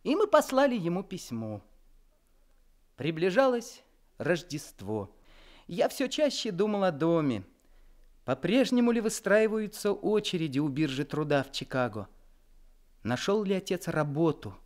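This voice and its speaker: A man's voice: high voice, sing-song, unhurried, long pauses. A man narrating in the first person as a young man looking back on his life in an old Soviet radio play. He tells the story in a reflective, wistful way.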